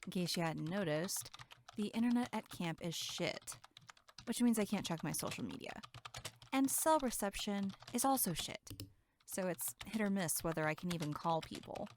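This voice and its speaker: A woman's voice: on the low side, animated, normal speed, slight background noise, close to an everyday voice. A woman acting in an audio drama as a flippant young camp counselor, with a sarcastic, blunt and casual way of talking.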